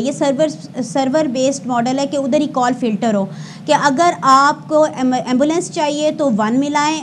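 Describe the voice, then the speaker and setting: A woman's voice: high voice, a little hiss or hum. A woman with a Pakistani accent speaking as a service spokesperson, giving formal, explanatory interview answers with some hesitation and self-correction. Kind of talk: explanatory interview answers with statistics, Urdu mixed with English.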